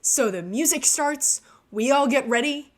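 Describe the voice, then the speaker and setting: A woman's voice: high voice, lively intonation, measured pace. A woman vlogger telling an embarrassing story in a casual, self-deprecating way while narrating gameplay. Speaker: gaming vlogger.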